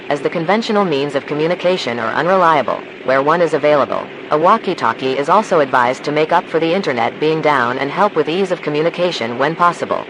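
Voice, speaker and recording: A man playing a calm, formal emergency broadcast announcer, reading measured disaster instructions in a scripted alert. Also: fairly high pitch, background noise.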